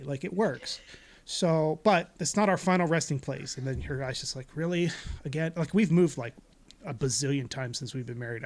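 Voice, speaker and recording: A middle-aged man hosting a casual talk show, speaking with intensity and a big voice. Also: mid-range voice. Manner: intense, loud, talks with big energy. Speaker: podcast host. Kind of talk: casual chat.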